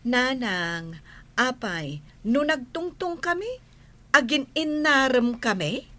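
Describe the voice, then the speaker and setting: A woman's voice: high voice, lively intonation, slow, sharp tone, full character voice. A woman playing an assertive young daughter-in-law who defends her own ways in an Ilocano radio drama. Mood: offended.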